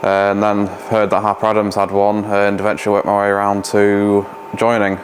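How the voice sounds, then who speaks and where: Young man, lowish voice. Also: completely flat delivery, normal speed. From East Riding of Yorkshire. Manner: chatty, some hesitations. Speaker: student rally team member. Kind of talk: casual interview answers. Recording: noisy background hiss.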